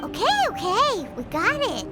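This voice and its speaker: A woman's voice: very high-pitched, very animated, normal speed, loud music behind the voice. A woman voicing a tiny, cheeky, childlike fairy companion in a video game, perky and cheerful. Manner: speaks of self in third person, perky.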